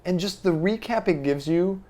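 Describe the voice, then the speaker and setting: A man's voice: sits high, moderate pace, darkish tone. A man in casual, opinionated conversation as a podcast host, speaking informally. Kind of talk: casual chat.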